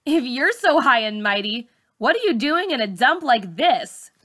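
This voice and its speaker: Woman playing a brash, mouthy tough schoolgirl, bright voice. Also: a little high, sing-song, a little slow, exaggerated voice. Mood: defiant. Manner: sassy, slangy, challenging.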